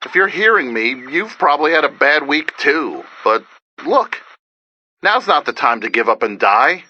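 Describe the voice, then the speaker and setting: Casting: game's survivor radio DJ, high-pitched, forceful, earnest with wry edge, urgent conversational delivery. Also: male voice, sing-song, normal speed, highs cut off, slight background noise, exaggerated voice. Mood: weary, grave. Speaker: radio broadcaster character. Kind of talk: video game voice acting, radio broadcast monologue.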